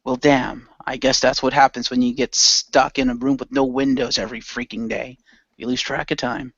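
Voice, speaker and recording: Radio drama skeptic male actor: sarcastic, weary businessman, average pitch, dry and slightly dull sound. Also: flat delivery, moderate pace, fairly bright tone, no room sound. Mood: exasperated. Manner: sardonic, exasperated.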